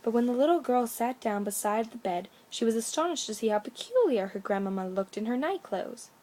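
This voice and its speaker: A woman with a fairly high voice reads a children's story aloud. She narrates expressively, in the manner of a bedtime storyteller. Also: expressive, brisk, dark tone, slight background noise.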